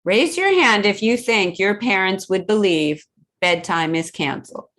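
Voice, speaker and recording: A woman hosting a children's storytime, reading a picture book aloud expressively and pausing to put questions to young listeners. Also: medium pitch. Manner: expressive, deliberate, simple phrasing with questions to children.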